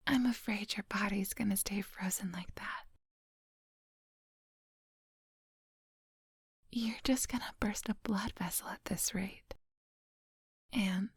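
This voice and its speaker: A female vampire hypnotist, played by a woman murmurs in an extremely breathy whisper with a touch of creak. She takes her time, coaxing and quietly menacing. The ASMR roleplay sounds close and very dry. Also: medium pitch, no echo, heavily acted. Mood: mock pity, menacing. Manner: slow, coaxing, hypnotic instructions. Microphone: close microphone, dry.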